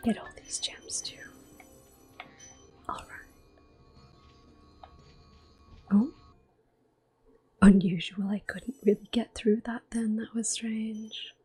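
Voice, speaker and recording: A woman giving gentle, unhurried ASMR gameplay commentary in a soft, breathy whisper as she plays. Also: a little high, little pitch movement. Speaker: gameplay streamer. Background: video game music.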